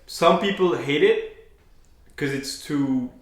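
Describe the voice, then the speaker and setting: A man's voice: on the high side, slow pace. Hesitant male host, echoey room. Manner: hesitant, many fillers. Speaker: podcast host. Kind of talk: casual interview.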